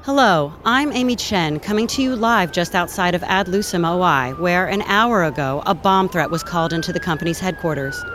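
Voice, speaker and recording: A woman's voice: lowish voice, a bit bright, lightly acted. Actress as formal female news reporter, noisy street. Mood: composed, formal. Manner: formal, measured. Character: composed, crisp female TV news field reporter. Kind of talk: live news report in audio drama. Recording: noisy city street with sirens behind.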